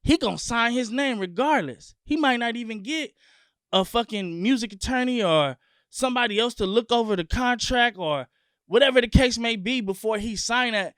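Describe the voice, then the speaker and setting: A man from Long Beach, California, a guest music artist talking in an animated, profane, emphatic way in a casual sit-down interview. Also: extremely high pitch, moderate pace, clean tone, no echo, clean studio sound.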